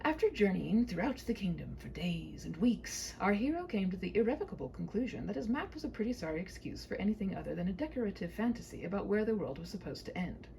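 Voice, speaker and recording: Wry woman storyteller, slightly creaky and animated. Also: mid-range voice, quick pace, hardly any pauses, a little hiss or hum, low-quality recording. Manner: whimsical, mock-formal, wordy. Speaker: storyteller reading own short story. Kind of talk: humorous scripted storybook narration with wry asides.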